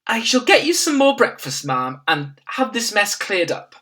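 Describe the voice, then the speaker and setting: A woman's voice: medium pitch, very animated, moderate pace, fairly bright tone, pitched above the performer's own voice. A woman voicing a fussy, plain-spoken middle-aged maid who speaks in a deferential, flustered, homely way. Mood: soothing.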